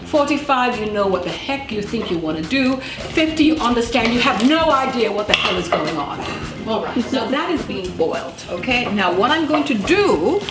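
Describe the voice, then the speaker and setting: Chatty woman, highish voice, roomy kitchen sound. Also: big pitch swings, moderate pace. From Jamaica. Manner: chatty, emphatic, rhetorical questions to listener. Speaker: cooking show host. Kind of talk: casual cooking demonstration. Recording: roomy echo, loud music behind. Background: music, clattering pots, stirring.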